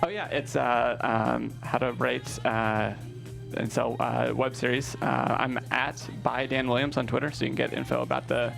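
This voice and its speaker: A man's voice: mid-range voice, level tone, normal speed, creaky, fairly bright tone, faint music behind. A man chatting casually as a guest writer on an interview show. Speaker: guest screenwriter. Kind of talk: casual chat.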